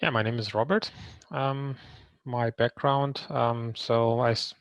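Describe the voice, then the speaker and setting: A man from Hungary, likely in his thirties, introducing himself on a video call with many pauses and ums. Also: medium pitch, fairly even intonation, no room sound.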